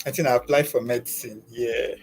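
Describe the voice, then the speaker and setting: A young man from Nigeria with a Nigerian accent, a guest telling his life story in a soft, hesitant way with many pauses. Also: mid-range voice, fairly even intonation, soft background music, poor audio. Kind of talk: personal story in an interview.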